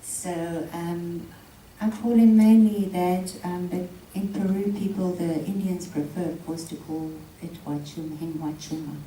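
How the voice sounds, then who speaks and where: Soft-spoken woman from South Africa, dark tone. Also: on the low side, fairly even intonation, measured pace, hardly any pauses, echoey room, recorded from a distance, slight background noise, poor audio. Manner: soft-spoken, many ums, laughs often. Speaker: guest speaker. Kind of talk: informal talk before an audience. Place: hall with a live audience. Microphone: handheld mic.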